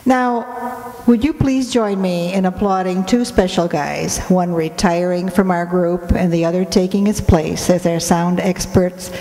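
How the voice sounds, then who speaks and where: A woman's voice: on the low side, steady tone, unhurried, dark tone. A woman giving formal, scripted closing thanks as a stage announcer. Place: auditorium stage, live before audience. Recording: echoey hall, crowd noise.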